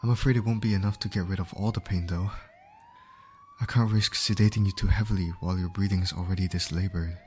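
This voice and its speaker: A man's voice: deep voice, fairly even intonation, fast talker, a little mellow, a little hiss or hum, natural voice. A man acting a caring field medic in an ASMR roleplay, speaking directly and reassuringly to an injured patient. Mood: soothing, concerned. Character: male field medic, calm, caring, urgent under pressure.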